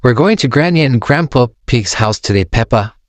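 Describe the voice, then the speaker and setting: A man's voice: medium pitch, expressive, moderate pace, no echo, natural voice. Wise mother pig, read by a man. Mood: instructive.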